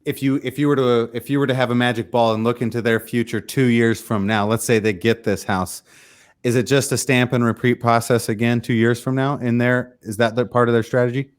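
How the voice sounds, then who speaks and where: A man's voice: mid-range voice, steady tone, a little fast, smooth. A man co-hosting a podcast, asking rambling questions and recapping for listeners. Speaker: podcast co-host. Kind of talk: casual podcast interview.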